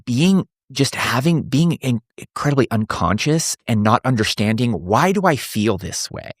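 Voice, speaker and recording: A man's voice: mid-range voice, lively intonation, moderate pace, no room sound. Earnest man in his forties, dry sound. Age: 40s. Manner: earnest, thinks aloud with many restarts. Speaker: men's coach, podcast guest. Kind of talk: casual podcast conversation.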